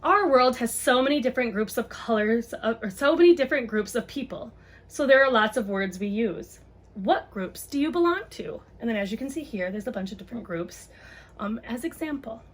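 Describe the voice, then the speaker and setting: A woman's voice: on the high side, expressive, fairly quick. A woman reading a children's book aloud and chatting casually to parents, explaining things simply.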